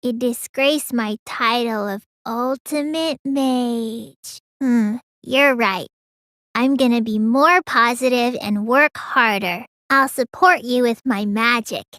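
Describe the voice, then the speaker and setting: A woman voicing a petulant, proud teenage girl mage for an English video game, with a childlike, listless manner that can turn excitable. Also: fairly high pitch, slow pace, dry recording, studio quality. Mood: proud, resolute. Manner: childlike, listless drawl with sudden excitable bursts.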